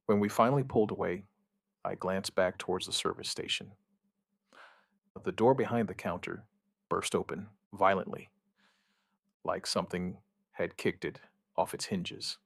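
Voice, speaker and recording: A man playing an outbreak survivor who gives a wry, weary witness account in an audio drama, in short, halting, understated phrases. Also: low-pitched, steady tone, gravelly, no room sound, clean studio sound. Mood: tense, dry. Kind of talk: scripted first-person audio drama narration.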